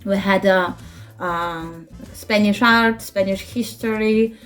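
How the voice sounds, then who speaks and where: Ukrainian-accented woman, halting English, laughing. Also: medium pitch, faint music behind. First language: Russian and Ukrainian. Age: 30s. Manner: halting, searching for words, laughs often. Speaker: interview guest, children's book translator. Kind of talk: casual interview.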